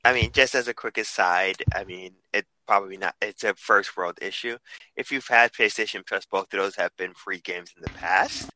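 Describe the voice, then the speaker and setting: A young man co-hosting a casual podcast chat, upbeat, with many restarts and quick asides. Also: fairly low pitch, sharp tone, no room sound, rough recording. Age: young adult. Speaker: podcast co-host.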